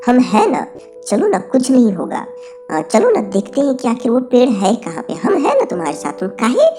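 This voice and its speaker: Woman voicing an eager high-pitched dwarf, Bihari Hindi. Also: huge pitch swings, normal speed, mellow. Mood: coaxing. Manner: colloquial, pleading, repetitive urging. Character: eager, adventurous little male dwarf, coaxing his friend. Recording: faint background music.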